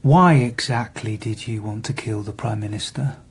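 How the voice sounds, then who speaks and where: An actor playing a calm, dry senior detective in a radio drama, husky and understated. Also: male voice, fairly low pitch, moderate pace. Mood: calm, gently probing. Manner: understated, gently probing. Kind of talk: naturalistic radio drama acting.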